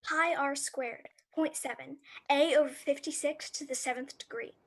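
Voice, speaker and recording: A woman playing an excited, matter-of-fact little girl in a radio play. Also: sits high, normal speed. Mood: excited, defiant.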